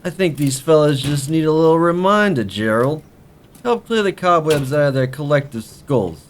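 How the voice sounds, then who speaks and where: An actor playing a menacing, foul-mouthed union enforcer in an audio drama. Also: male voice, on the high side, expressive, normal speed, a little hiss or hum, natural voice.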